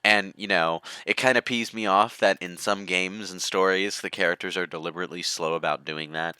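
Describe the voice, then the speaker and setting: Low-voiced man, crisp and bright. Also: steady tone, fairly quick, no echo. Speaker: gaming commentator. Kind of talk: casual group commentary over a video game.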